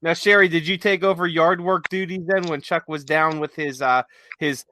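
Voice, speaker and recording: Bright-voiced man hosting a podcast. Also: a little high, fairly even intonation, normal speed, a little hiss or hum. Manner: jokey, playful banter. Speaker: podcast host. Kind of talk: casual chat.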